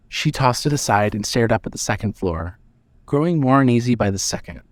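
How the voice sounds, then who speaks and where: A man narrating a scripted dramatic story in a measured reading manner. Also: fairly low pitch, dry recording.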